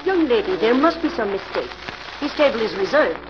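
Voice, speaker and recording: An actress playing a suave, wary countess in a 1940s radio drama, formal and guarded, with imperious politeness. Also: female voice, a little high, big pitch swings, fairly quick, over music. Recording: noisy, muffled old radio recording.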